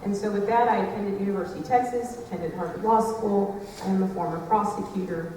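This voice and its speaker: A woman legislator delivers a brisk, confident campaign speech. She is heard from across a large, reverberant hall. A clear background noise runs under her. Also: mid-range voice, fairly even intonation, warm tone. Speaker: state legislator, candidate. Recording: echoey hall, mic far off.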